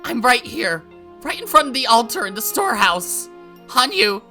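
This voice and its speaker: Lady playing a scared bossy girl yelling loud and high, bright voice, faint music. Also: sing-song, normal speed, exaggerated voice. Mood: frantic. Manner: shouting, frantic, bossy. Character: frightened, demanding young girl trapped alone.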